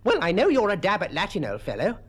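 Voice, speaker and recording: Squeaky, wheedling fat British schoolboy. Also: male voice, huge pitch swings, quick pace, breathy edge, exaggerated voice. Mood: wheedling, flattering. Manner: wheedling, blustering, stammering when cornered. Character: fat schoolboy, wheedling, boastful, dim and cowardly. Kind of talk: character voice in a storybook reading.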